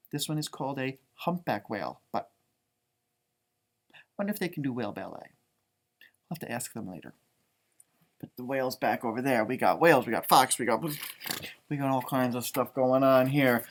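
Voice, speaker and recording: A man reading a children's picture book aloud in an animated way, stretching out read phrases and adding chatty, exclaiming asides. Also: fairly high pitch. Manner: animated, exclaiming, drawn-out words when reading. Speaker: storybook reader, elementary music teacher.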